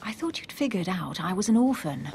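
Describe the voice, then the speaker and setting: A woman's voice: lowish voice, sing-song, a little fast, gravelly, a little mellow. An actress playing a guarded, witty, self-possessed woman of a secret society in a video game, composed and articulate. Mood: dry, dismissive. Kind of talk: dramatic video game dialogue. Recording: music under the voice.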